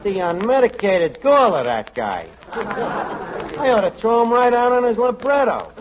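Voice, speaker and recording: A man's voice: very high voice, big pitch swings, unhurried, crowd noise, full character voice. A man playing a blustering, jealous tavern manager who mangles his words in a vintage radio comedy. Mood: jealous, indignant. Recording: muffled, very noisy old radio recording with audience.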